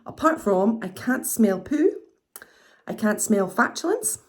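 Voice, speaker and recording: A woman's voice: mid-range voice, big pitch swings, unhurried. A woman in her thirties with a blocked nose, talking in a chatty, rambling video diary. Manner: chatty, rambling, self-reassuring. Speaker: vlogger.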